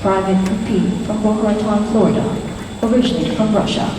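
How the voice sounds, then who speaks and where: A woman announcing at a formal ceremony, reading names and hometowns slowly and deliberately over a public address system. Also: mid-range voice, steady tone, background noise. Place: large hall at a ceremony. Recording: distant PA voice in an echoey hall with a loud crowd.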